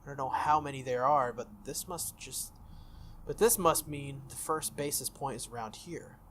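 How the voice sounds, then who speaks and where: A man's voice: mid-range voice, moderate pace, a bit bright, slight background noise. A man reading a visual novel aloud as a let's play host, with casual irreverent asides.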